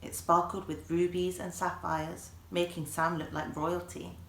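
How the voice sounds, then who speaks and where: Echoey storytelling woman with a low voice. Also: unhurried, gravelly, a little hiss or hum. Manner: animated, acts out dialogue. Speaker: author reading own book aloud. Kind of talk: children's audiobook storytelling. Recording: echoey room.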